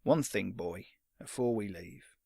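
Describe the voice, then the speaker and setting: A man voicing a gruff, curt retired old fighter with a rough, lowered voice. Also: animated, unhurried, mellow, no room sound, clean studio sound, exaggerated voice. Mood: stern. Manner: curt and menacing.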